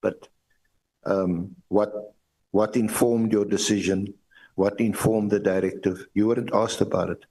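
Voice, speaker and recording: A man with a fairly low voice and a South African accent puts formal questions at a hearing. He is deferential in a lawyerly way, often repeating words as he works toward each point. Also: steady tone, warm tone, husky, rough recording. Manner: halting, repetitive phrasing with lawyerly deference. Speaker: evidence leader questioning a witness.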